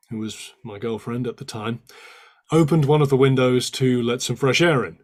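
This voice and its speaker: A man portrays a survivor testifying in a courtroom play. He sounds earnest and careful, a little hesitant as he recalls details. His pitch is average for a man. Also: close to an everyday voice. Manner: careful, slightly hesitant recollection. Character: earnest male disaster survivor, experienced boatman, testifying. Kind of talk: online table read of a courtroom play.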